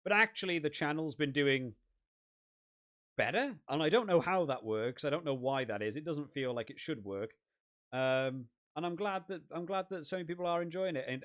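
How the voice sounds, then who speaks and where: A man from the UK hosting a rugby gaming live stream, chatting and rambling with lots of restarts, his voice wearing out and going hoarse. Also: mid-range voice. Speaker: rugby gaming channel host. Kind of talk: casual live stream chat.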